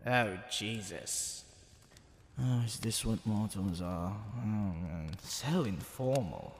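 Man acting slow, smug, seductive demon king. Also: fairly low pitch, animated. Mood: flustered, teasing. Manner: drawling, theatrical, with whispered asides. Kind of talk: ASMR roleplay. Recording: long reverb, faint background noise.

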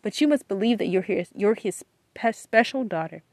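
Female faith podcast host, mid-pitched, earnest and exhorting, repetitive for emphasis, close dry recording. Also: lively intonation, moderate pace, dry recording. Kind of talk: devotional encouragement talk with prayer.

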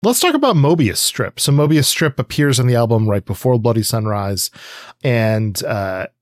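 A man's voice: mid-range voice, animated, moderate pace, no echo, clean studio sound. A man co-hosting a podcast, talking through music reflectively and conversationally, often searching for his words. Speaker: podcast co-host. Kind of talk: conversational music review discussion.

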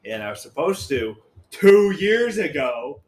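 A man's voice: on the high side, very animated, a little slow, darkish tone. Blunt, profane young man. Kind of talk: casual chat.

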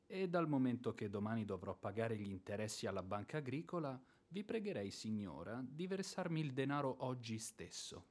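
An actor playing a blustering, hot-tempered landowner and former officer in a radio drama, emphatic, sarcastic and grumbling. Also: male voice, medium pitch, sing-song, normal speed, hardly any pauses, a little mellow. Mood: formal, insistent. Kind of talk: theatrical radio drama acting.

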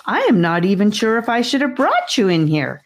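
A woman's voice: mid-range voice, wildly swooping pitch, normal speed, voice pushed up. A woman voicing an earnest, guarded young girl who keeps watch, in a storybook reading. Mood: flustered, wary.

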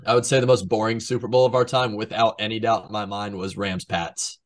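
A young man with a slightly low voice, co-hosting a sports podcast. He gives his takes casually, as in conversation with friends. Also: flat delivery, fairly quick. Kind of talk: casual chat and sports commentary.

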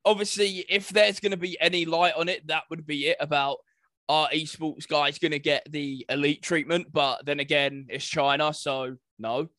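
A man's voice: a little high, steady tone, normal speed, bright, forceful tone, dry recording. A young British man in casual podcast chat as co-host, informal and acting out imagined exchanges. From United Kingdom.

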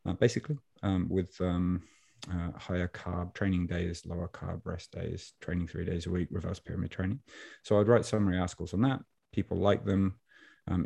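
A man with a deep, warm voice speaks as a podcast guest over a video call. He tells stories in an animated way and laughs now and then. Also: creaky. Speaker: podcast guest, online fitness coach. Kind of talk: casual storytelling interview. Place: Japan.

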